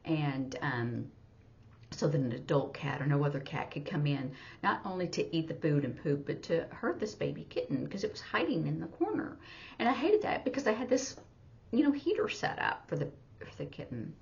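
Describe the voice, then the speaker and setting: A woman's voice: low voice, animated, normal speed, gravelly. A middle-aged woman teaching through a casual story, talking in a rambling, conversational way. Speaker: sign language teacher. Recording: slightly dull, lo-fi audio.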